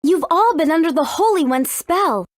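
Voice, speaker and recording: Game heroine: spirited, bossy teen girl, high and animated, wry edge. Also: normal speed, no room sound, studio quality. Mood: matter-of-fact. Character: spirited, confident, bossy teenage girl adventurer. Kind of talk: video game character acting.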